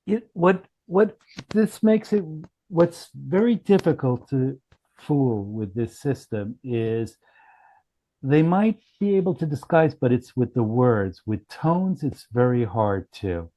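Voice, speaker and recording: A man speaking as a company founder, explaining technical matters haltingly on a group call. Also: mid-range voice, expressive, dark tone, poor audio. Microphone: online group call.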